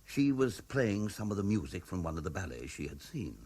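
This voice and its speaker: A troubled, husky British husband. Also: male voice, deep voice, sing-song, a little fast, warm tone, full character voice. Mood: troubled, recalling. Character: troubled, earnest middle-aged husband fearing for his young wife. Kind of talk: 1970s British radio drama acting.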